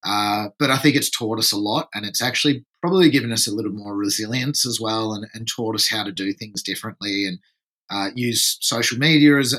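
Bright-voiced man from France, rambling. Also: fairly low pitch. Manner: rambling, repeats words while thinking. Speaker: podcast guest, surf environmentalist. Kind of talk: casual podcast interview.

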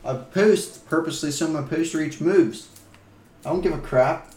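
A man's voice: fairly high pitch, measured pace, a little mellow, roomy sound, recorded from a distance. A young man hosting a casual vlog, restless and easily annoyed, chatting loosely with a friend. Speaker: vlog host. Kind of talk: casual chat.

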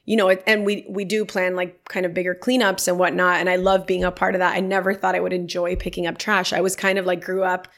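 Gravelly-voiced chatty woman guest. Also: lowish voice, fast, few pauses. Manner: chatty, animated when quoting people. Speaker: podcast guest. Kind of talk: casual interview storytelling.

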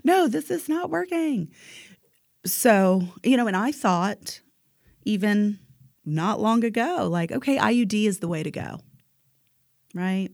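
A woman's voice: mid-range voice, expressive, a little slow, creaky, pauses often, darkish tone, dry recording, studio quality. A woman in her forties talking emphatically in a casual health chat, often tagging her points with "right?". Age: 40s. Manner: emphatic, keeps asking "right?".

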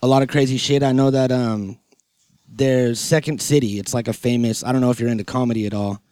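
Guy doing podcast interview, soft mellow tone, dry close sound, slangy and rambly but into it. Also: medium pitch, fairly even intonation, brisk, no echo. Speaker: podcast host. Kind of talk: casual podcast interview chat.

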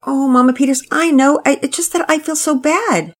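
A woman's voice: sits high, normal speed, pitched above the performer's own voice, natural voice. A woman voicing a timid, people-pleasing young girl who is exhausted, stammering and out of breath. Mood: flustered. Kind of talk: storybook reading.

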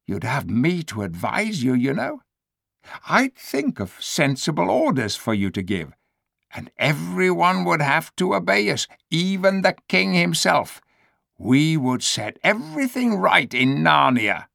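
A man's voice: fairly high pitch, sing-song, a little slow, creaky, fairly bright tone, no echo, studio quality, voice pushed up, full character voice. A man voicing a crafty, bossy male ape who whines and coaxes in a storybook reading. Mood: persuasive. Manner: whiny, persuasive, self-pitying. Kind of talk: storybook read-aloud.

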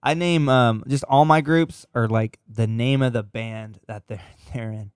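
A man's voice: mid-range voice, steady tone, a little slow, smooth, no echo, studio quality. A young man chatting casually on a podcast, telling loose stories, laughing easily and swearing a lot. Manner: loose, laughing storyteller who swears often. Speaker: podcast co-host.

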